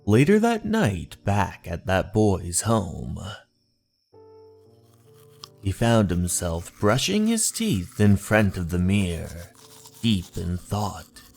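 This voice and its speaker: A man narrating a scripted horror story in a deliberate, suspenseful, dramatic manner over music. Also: sits low, wildly swooping pitch, creaky, a little mellow. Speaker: narrator of horror manga stories. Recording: music under the voice.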